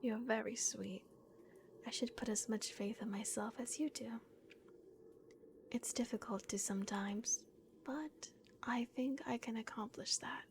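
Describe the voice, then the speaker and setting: Woman acting a whispering, slow, gentle vampire guardian. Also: a little high, steady tone, slight background noise. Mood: tender, reassuring. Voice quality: breathy, whispered with creaky edges. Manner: slow, hushed, hesitant pauses. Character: gentle, remorseful vampire guardian of a lost child. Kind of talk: ASMR roleplay, soft whispering. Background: dark castle ambience.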